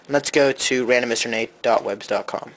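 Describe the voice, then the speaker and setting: A man's voice: mid-range voice, brisk, poor audio. A man giving a casual, hands-on gadget review as a co-host.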